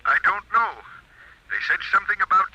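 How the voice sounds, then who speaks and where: A frightened professor, high and piercing. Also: male voice, expressive, brisk, pushed and bright, slight background noise, exaggerated voice. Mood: frightened, urgent. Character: frightened kidnapped professor, urgent and desperate. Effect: telephone line. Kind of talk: mid-century American radio drama acting. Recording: dull telephone-filtered old radio recording.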